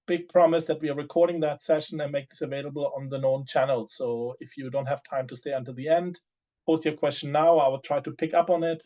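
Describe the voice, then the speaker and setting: Man moderating a webinar, halting delivery. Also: a little high, a little mellow, muffled recording. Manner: hesitant, many fillers and restarts. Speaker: panel moderator.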